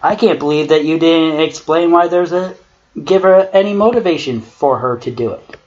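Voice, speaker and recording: A man's voice: a little high, moderate pace, a little mellow. A man giving critical commentary on amateur horror fiction in a sarcastic, profane way. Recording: muffled lo-fi mic with clear noise.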